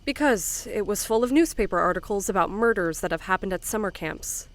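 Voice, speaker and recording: A woman's voice: mid-range voice, expressive, brisk, close to an everyday voice. A woman playing an earnest, reserved but determined young female camp counselor in an audio drama, sincere and plain-spoken. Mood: serious, firm.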